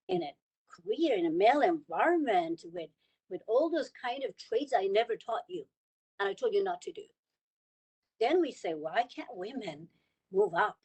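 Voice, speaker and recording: A woman's voice: fairly high pitch, darkish tone, breathy edge, poor audio. Woman from Iran, panelist on a video call, earnest, hammers points home by repeating words. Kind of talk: panel discussion remarks.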